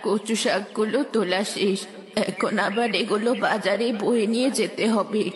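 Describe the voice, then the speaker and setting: A woman's voice: medium pitch, normal speed, big-room sound, lightly acted. Woman as a grumbling old village granny. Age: older. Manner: grumbling, self-reliant. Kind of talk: children's cartoon dubbing. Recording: music behind the voice.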